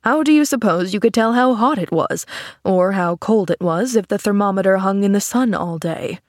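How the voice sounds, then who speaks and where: A gruff, weary older gentleman from a storybook, voiced by a woman reader. He speaks in a measured, gently commanding way with wry kindness. The recording is close and dry. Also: medium pitch, dry recording, close to an everyday voice. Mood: wry amusement. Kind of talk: storybook character acting.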